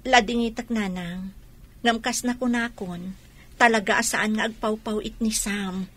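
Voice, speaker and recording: A woman's voice: fairly high pitch, steady tone, a little slow, a bit bright. A woman playing a weary, patient wife in an Ilocano radio drama, speaking in a pleading, apologetic manner. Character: weary, patient wife left waiting for money.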